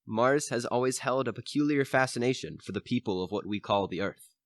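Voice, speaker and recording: A man's voice: mid-range voice, a little fast, very noisy. A teenage male announcer giving dramatic scripted narration for a radio play.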